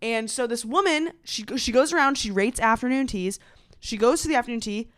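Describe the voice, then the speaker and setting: A young American woman hosts a casual comedy podcast, speaking in a smooth, clean voice. Her delivery is slangy and sarcastic, leaning hard on key words for drama. The sound is dry and close, with no room on it. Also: fairly high pitch, a little fast, dry recording. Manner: animated storytelling, slangy, sarcastic, dramatic emphasis.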